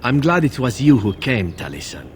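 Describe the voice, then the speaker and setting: An actor playing a suave, taunting elven assassin in a fantasy video game. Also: male voice, medium pitch, sing-song, moderate pace, a little hiss or hum, close to an everyday voice. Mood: calm menace. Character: suave male elven assassin turning on his companion.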